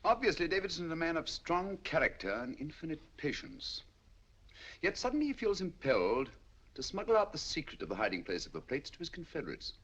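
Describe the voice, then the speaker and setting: An actor playing a shrewd, calm detective, speaking in a measured, deliberate way in a 1940s film. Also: male voice, fairly high pitch, husky, full character voice. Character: shrewd calm male detective, middle-aged. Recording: dull lo-fi 1940s film soundtrack.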